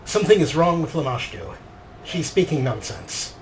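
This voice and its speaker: A man's voice: fairly high pitch, sing-song, moderate pace, low-quality recording. A man hosting a video game playthrough with playful commentary. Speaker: host narrating a game playthrough. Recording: clear background noise and game ambience.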